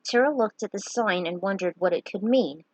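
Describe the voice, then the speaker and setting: A woman narrating a story read-aloud in a deliberate way, with dramatic pauses. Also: medium pitch, a little mellow, dry recording, rough recording. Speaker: narrator reading own fan fiction.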